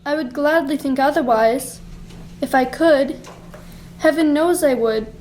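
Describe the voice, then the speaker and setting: A woman playing a sorrowful, earnest young fiancée in a radio play, with formal, deliberate delivery. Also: high voice, a little mellow, slight background noise. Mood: sad resolve. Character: sorrowful, principled young fiancée releasing her betrothed.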